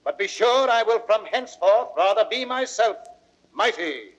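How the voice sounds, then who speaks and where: A man's voice: high voice, expressive, normal speed, fairly bright tone, no room sound. A weary, imperious Shakespearean king. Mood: stern anger. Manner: declamatory, formal. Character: weary, proud king and troubled father, brooding and imperious. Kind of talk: classical Shakespearean radio acting. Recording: dull vintage audio recording.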